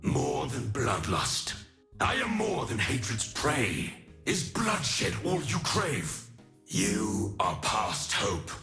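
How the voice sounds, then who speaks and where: A forceful ancient warrior god from a fantasy video game. He is weary yet fierce, speaking in solemn, grandiose phrases at a slow, deliberate pace. His pitch swings dramatically, with music faintly behind him. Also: wildly swooping pitch, creaky, full character voice. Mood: grave, stern.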